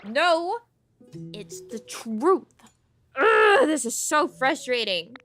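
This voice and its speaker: A woman's voice: high voice, very animated, extremely slow, a bit bright, faint music behind, heavily acted. A woman voicing an indignant, proud, food-obsessed cook creature from a game, with dramatic exclamations. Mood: proud, frustrated. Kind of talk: game character read aloud.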